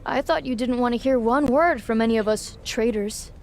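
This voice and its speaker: Woman playing a high-pitched, cheeky rebel wrestler. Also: moderate pace, lightly acted. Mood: playful, needling. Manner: flippant, unbothered, teasing. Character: Psycho Sally, cheeky offbeat female rebel wrestler. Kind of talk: video game cutscene dialogue.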